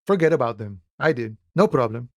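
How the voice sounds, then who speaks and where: A man's voice: medium pitch, animated, no echo, clean studio sound, natural voice. Man acting laid-back philosophical cowboy-ish dock worker. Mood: easygoing, wry. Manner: unhurried, folksy musing, calls people friend and boya. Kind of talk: video game character dialogue.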